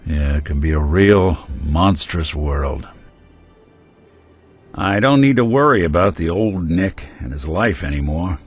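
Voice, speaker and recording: An actor playing a world-weary male synth detective in a game, talking with a gruff, laconic noir drawl. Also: deep voice, lively intonation, a little slow, faint music behind, full character voice. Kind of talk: game companion dialogue.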